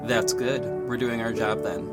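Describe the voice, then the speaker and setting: A man's voice: mid-range voice, little pitch movement, normal speed, lightly acted. An actor playing a young, cocky but caring male vigilante superhero in a scripted audio drama. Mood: teasing, relaxed. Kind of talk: scripted first-person narration and acted dialogue. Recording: music behind the voice. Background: music.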